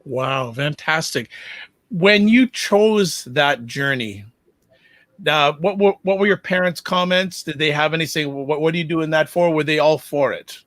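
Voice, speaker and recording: A man's voice: a little high, unhurried, a bit bright, dry recording, clean studio sound. A man hosting an interview show, friendly and playful, often stumbling over his questions. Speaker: show host.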